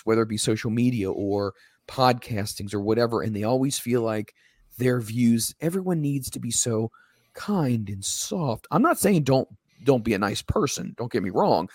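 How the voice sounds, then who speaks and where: A man co-hosting a casual wrestling podcast, a little hoarse, talking emphatically and ranting at times. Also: medium pitch, moderate pace, no room sound, studio quality. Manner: emphatic, ranting, animated when quoting others. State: slightly hoarse.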